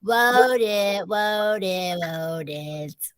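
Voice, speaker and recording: A woman's voice: mid-range voice, animated, drawn-out words, background noise. A woman voicing a silly baby brother in a story, babbling words with R's turned to W's. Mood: silly, taunting. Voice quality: childish speech, R pronounced as W.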